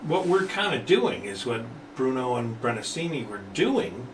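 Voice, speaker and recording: A man's voice: medium pitch, sing-song, moderate pace. Plain-spoken guy just chatting, roomy echo, sitting back from the mic. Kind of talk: casual chat. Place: studio room. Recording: echoey, distant mic, clear background noise.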